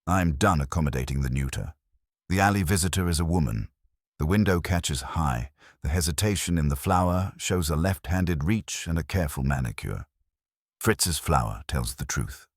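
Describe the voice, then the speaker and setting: A male narrator plays a big, pedantic detective genius with a very deep voice. He speaks in pompous, formal commands with a lively rise and fall, as in a mystery audiobook. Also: normal speed, no echo, studio quality. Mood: pompous deduction.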